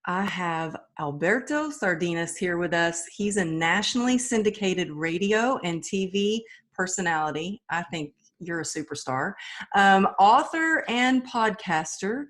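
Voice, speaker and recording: A woman's voice: fairly low pitch, lively intonation, a little slow, fairly bright tone. A woman hosting a podcast interview, welcoming her guest with enthusiastic, effusive praise. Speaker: podcast host.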